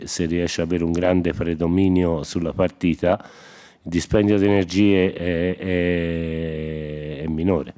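A man's voice: low voice, mellow. A man in his sixties, a football coach, explaining tactics thoughtfully at a post-match press conference. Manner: reflective, explanatory, tactical reasoning. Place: press room in front of a sponsor board. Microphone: desk microphone.

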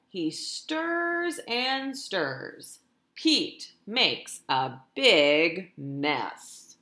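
Woman, storytime teacher for small kids. Unhurried, expressive, talks straight to the children, in an echoey room. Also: a little high. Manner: expressive, deliberate, addresses children directly. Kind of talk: picture-book read-aloud for young children. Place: indoors in a room at home.

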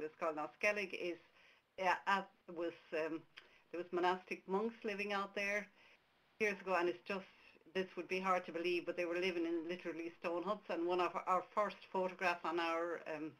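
A woman's voice: lowish voice, fairly bright tone, rough recording. A woman from Ireland, a native Irish speaker with an Irish accent, giving a conversational webcast presentation over a webcam mic with frequent restarts. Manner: conversational, many restarts and fillers. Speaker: tour operator presenter.

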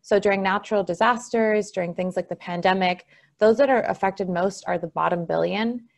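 Argentinian woman presenting with gravelly fry. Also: mid-range voice, brisk. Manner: earnest, explanatory. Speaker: conference presenter. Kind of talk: slide presentation talk.

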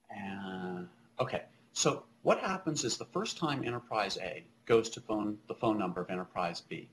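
A middle-aged man with a fairly low voice gives a technical keynote over a webcam stream. He explains step by step in a halting, hesitant way. Background noise sits faintly but noticeably behind him. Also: poor audio. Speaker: keynote speaker, technology presenter. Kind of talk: technical presentation over slides.

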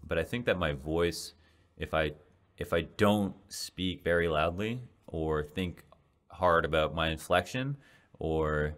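Very deep-voiced young man, rambling. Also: takes it slowly, fairly bright tone. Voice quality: deep voice that turns raspy. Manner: rambling, many restarts and fillers. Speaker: podcast host and esports caster. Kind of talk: casual solo podcast reflection. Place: small home room, indoors. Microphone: large studio mic in front of face.

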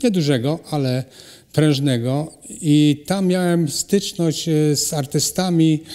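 A middle-aged man, a ceramic artist, answering interview questions in a halting way with many pauses and restarts. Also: fairly high pitch, fairly even intonation, warm tone. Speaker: ceramic artist and cultural animator, guest. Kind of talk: hesitant conversational interview answers. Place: hall at a cultural centre. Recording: long reverb of a hall.

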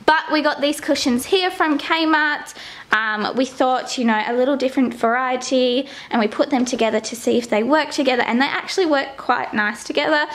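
A woman's voice: high-pitched, normal speed, a bit bright. A young Australian woman in her twenties, chatting casually to a handheld camera at home and in the car. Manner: chatty, talking straight to the camera. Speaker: vlogger. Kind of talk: casual vlog chat. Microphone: handheld camera at arm's length.

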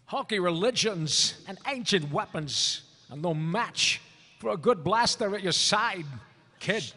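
A man's voice: sits high, expressive, a little slow, pushed and bright, heavily acted. A man voicing a cocky, cynical space smuggler, brash and sarcastic, in a comic live table read on a hall stage. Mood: cocky, dismissive. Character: cocky, cynical male space smuggler as loud cartoon character. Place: large convention hall stage. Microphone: table microphone. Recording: reverberant convention hall panel.

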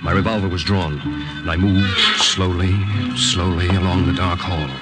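Low-voiced American secret agent in radio drama, acted by a man. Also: flat delivery, normal speed, natural voice. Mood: tense, suspenseful. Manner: dramatic, suspenseful storytelling. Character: tense American male secret agent recounting his wartime mission. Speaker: actor in lead role, narrating in first person. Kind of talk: 1950s radio drama acting, first-person narration. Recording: old 1950 radio recording, music behind.

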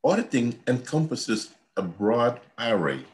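A man's voice: mid-range voice, very animated, a little slow, darkish tone. A man, giving an earnest university lecture on a video call from a home office. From Guyana. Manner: earnest, repetitive teacherly explaining. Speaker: accounting professor.

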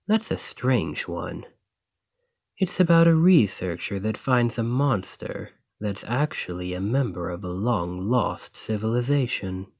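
A man playing a gentle, bookish, lovestruck dragon librarian in a romantic ASMR roleplay, speaking softly and earnestly with a slightly shy manner. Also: on the low side, no echo. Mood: chatty, fond.

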